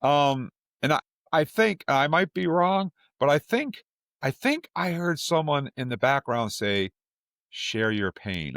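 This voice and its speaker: A man's voice: mid-range voice, a bit bright, no echo, clean studio sound. A man hosting a podcast, talking casually as an enthusiastic fan and repeating words for emphasis. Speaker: podcast host.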